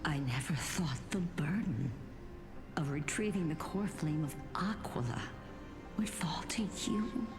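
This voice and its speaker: A grandmother from a fantasy game, grave and tender. Her voice sits low and moves slowly, with halting, emotional delivery. Music plays clearly behind her. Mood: tender, wistful. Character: grave, tender ancestral grandmother, newly awakened. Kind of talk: dramatic fantasy game voice acting.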